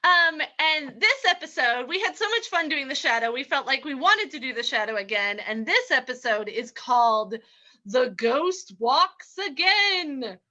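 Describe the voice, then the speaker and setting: A high, lively woman's voice announces in old-time radio style. She takes her time over the words, with a bright edge. The sound is a little muffled, like an online stream. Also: unhurried. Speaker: announcer of a radio show reading.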